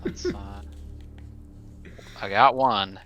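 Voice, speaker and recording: A man's voice: on the low side, very slow, sharp tone, highs cut off, soft background music. A man chatting casually as a player in a tabletop roleplaying game, slipping into sweary in-character talk.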